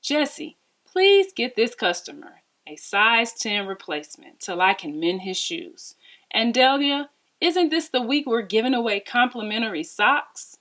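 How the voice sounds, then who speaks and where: A woman's voice: on the high side, big pitch swings, unhurried, a bit bright, full character voice. A woman voicing a kindly, generous male shoe-shop owner in a storybook, polite and folksy. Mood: kindly instructing.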